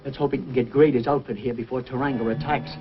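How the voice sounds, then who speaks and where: A man acting a gruff, practical frontier trader in an old-time radio adventure, with plain, terse delivery. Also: mid-range voice, steady tone, fast, muffled recording, lightly acted. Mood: concerned. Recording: old band-limited radio recording, music.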